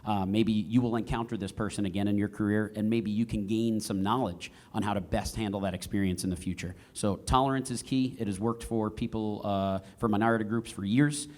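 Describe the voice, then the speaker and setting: A man speaking as a panelist, giving earnest, measured advice and repeating phrases for emphasis. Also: fairly low pitch, steady tone, a little mellow.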